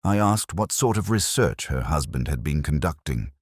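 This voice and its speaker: A man reading a scripted first-person mystery story in a measured, solemn, literary manner. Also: very deep voice, expressive, husky, no room sound, clean studio sound. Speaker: narrator.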